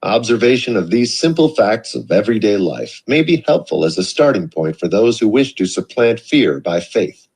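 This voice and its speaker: A man reading a self-help book aloud in a measured, expressive way. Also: fairly low pitch, slightly rough. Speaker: audiobook reader. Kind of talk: read-aloud narration.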